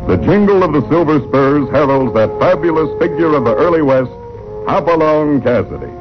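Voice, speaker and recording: A man's voice: on the high side, lively intonation, normal speed. An American man narrating a vintage radio drama as its announcer, in a grand, theatrical scripted delivery. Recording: muffled old radio broadcast. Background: music.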